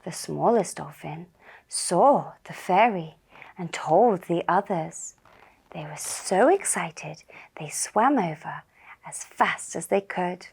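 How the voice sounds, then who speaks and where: A woman telling a children's puppet story in a gentle, deliberate and soothing manner. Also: fairly low pitch, expressive, creaky, slightly rough. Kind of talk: slow narrated children's story.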